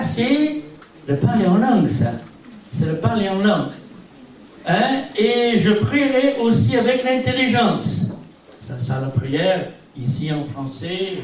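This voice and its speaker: An older man whose first language is English preaches a sermon, his voice sitting a little high. He rambles from point to point with small asides and gentle self-mockery. He is heard from a distance, the sound washing around a very echoey hall over a faint hiss. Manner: rambling, peppered with "eh bien" and "hein". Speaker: visiting preacher.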